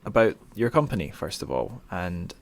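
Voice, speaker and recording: Awkward low-voiced man hosting a podcast. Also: big pitch swings, moderate pace. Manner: awkward, hesitant, self-deprecating. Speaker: podcast host. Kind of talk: casual interview.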